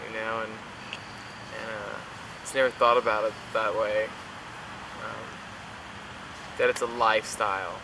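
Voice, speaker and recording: Young man, flat monotone delivery, halting speech, heavy outdoor traffic noise. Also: fairly low pitch, creaky. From Oklahoma. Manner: halting, many fillers and restarts. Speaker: worship internship graduate. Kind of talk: casual interview answers.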